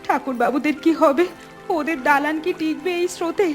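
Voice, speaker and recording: A woman's voice: high voice, fairly quick, natural voice. A woman voicing a frightened, fretful village mother in a cartoon, full of anxious questions. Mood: panicked. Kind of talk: Bengali cartoon dialogue. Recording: music behind the voice.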